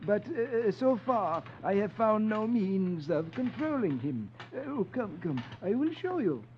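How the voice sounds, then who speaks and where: A man voicing a little old eccentric sorcerer-scholar in a halting, absent-minded manner for a 1950s radio comic-strip reading. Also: very animated. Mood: mild, apologetic. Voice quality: high, thin old-man voice. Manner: halting, hesitant, with little uhs. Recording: muffled, noisy old radio recording.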